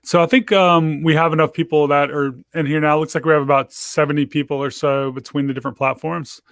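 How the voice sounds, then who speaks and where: Male host, casual interviewer over video call; fairly high voice, dry close sound, loose chatty delivery. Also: brisk, no room sound. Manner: relaxed, chatty, many fillers and "like"s. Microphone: video call microphone.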